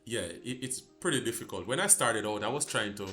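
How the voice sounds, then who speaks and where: A Jamaican man hosting a gaming channel, talking through a game fix in a halting, casual way with many fillers and restarts. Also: on the low side, lively intonation, bright, forceful tone, soft background music. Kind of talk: casual tutorial walkthrough.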